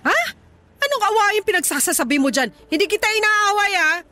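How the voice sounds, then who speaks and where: A woman's voice: very high-pitched, animated, brisk, full character voice. An actress voicing a middle-aged poor mother who scolds and blames her grown daughter, in a radio drama. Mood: scolding. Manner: sharp, reproachful, nagging. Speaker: actor.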